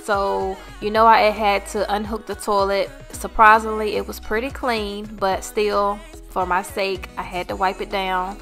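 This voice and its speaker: A woman's voice: medium pitch, level tone, measured pace, few pauses, fairly bright tone. An American woman giving a casual, chatty voice-over narration as a vlog host. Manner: chatty, informal. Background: background music.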